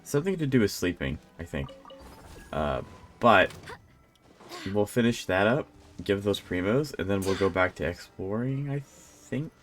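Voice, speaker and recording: A man's voice: lowish voice, very animated, unhurried, fairly bright tone, faint music behind. A man giving casual live gameplay commentary as a streamer, rambling and thinking aloud. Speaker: game streamer.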